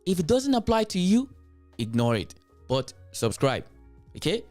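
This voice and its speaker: A man's voice: sits high, very animated, moderate pace, a little mellow, no echo, soft background music. A man with a Nigerian accent hosting a commentary show, talking straight to his viewers, emphatic and repeating his points to drive them home. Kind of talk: reaction commentary, addressing viewers.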